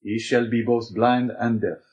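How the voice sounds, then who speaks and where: A man's voice: mid-range voice, flat delivery, unhurried, a little mellow, natural voice. A man voicing an eager, determined and honourable young male prisoner in an audiobook, speaking earnestly and formally.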